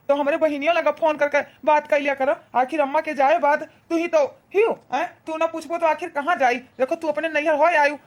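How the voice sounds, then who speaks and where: A woman doing a high-pitched coaxing husband. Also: close to an everyday voice. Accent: Awadhi. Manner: deferential, coaxing, repetitive address. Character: devoted, coaxing husband who serves his wife. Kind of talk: Hindi cartoon family drama dialogue.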